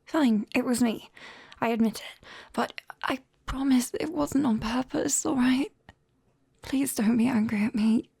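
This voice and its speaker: A British woman playing a clumsy, caring young witch in an ASMR roleplay, whispering softly and hesitantly, close to the mic. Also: a little high, measured pace, dry recording, exaggerated voice. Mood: defensive, sheepish. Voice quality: soft, breathy whisper. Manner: hesitant, coaxing, gently apologetic. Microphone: close binaural microphone.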